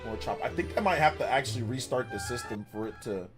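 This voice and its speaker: A man's voice: mid-range voice, animated, fast, music in the background. A man in his fifties hosting a casual gaming livestream, rambling and correcting himself as he talks to chat, laughing often. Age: 50s. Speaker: livestream host.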